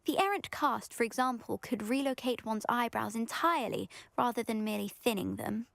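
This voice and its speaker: A snobbish teenage schoolgirl entrepreneur in a fantasy game. She has a British accent and a highish voice, pompous and affectedly genteel, full of vanity. The recording is very dry and close. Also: a little fast, gravelly, a bit bright, no room sound. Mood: smug, pleased. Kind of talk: video game character acting.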